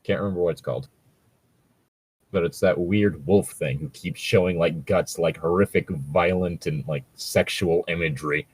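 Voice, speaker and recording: A man's voice: low-pitched, normal speed, darkish tone, slightly rough. A man casually bantering and reading online stories aloud, with a wry, joking, sometimes profane manner. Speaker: co-host reading online tabletop gaming horror stories.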